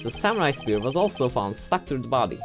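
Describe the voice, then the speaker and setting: A young woman voicing a formal TV news anchor in a fan game voice-over, in an even, formal delivery. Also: a bass voice, fast talker, over music, heavily acted.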